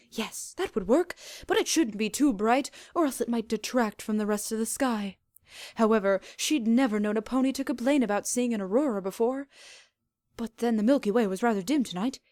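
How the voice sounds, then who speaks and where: A woman reading fan fiction aloud as an expressive scripted narration. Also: a little high, dry recording, clean studio sound.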